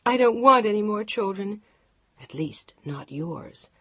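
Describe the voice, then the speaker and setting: A woman playing a distraught, shouting wife. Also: expressive, measured pace, no echo, dull audio. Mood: defiant. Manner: shouting, pleading, raised pitch. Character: distraught woman, pregnant, defiant.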